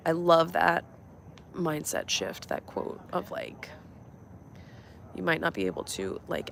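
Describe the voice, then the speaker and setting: Young woman, nasal with a cold, low gravelly voice, relaxed motivational chat while walking outdoors on a phone. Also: slight background noise. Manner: relaxed reflective chat with fillers. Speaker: vlogger and life coach. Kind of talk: casual motivational live stream. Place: outdoors on a walk.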